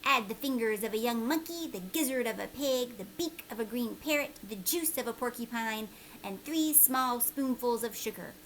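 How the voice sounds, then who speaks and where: A woman voicing a peculiar, secretive old man from a storybook, speaking with urgent emphasis and sometimes a hush. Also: fairly high pitch, moderate pace, slight background noise. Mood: mysterious. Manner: urgent, emphatic, hushed at times.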